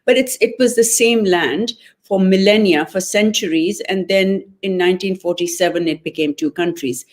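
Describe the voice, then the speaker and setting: A woman from Pakistan speaking as an interview guest, articulate and measured as she lays out her views. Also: medium pitch. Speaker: guest, political club member. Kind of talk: conversational interview.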